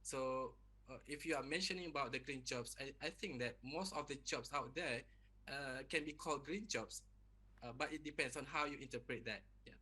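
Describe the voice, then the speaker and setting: A man speaking as a panelist, halting with fillers and repeated words. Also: medium pitch, bright, forceful tone, husky. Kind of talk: panel discussion answer.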